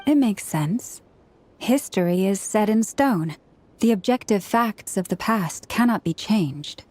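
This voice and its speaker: A woman playing a gentle, composed young woman in a video game, speaking in a measured, formal, polite way. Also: mid-range voice, animated, a little hiss or hum, natural voice. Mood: reflective.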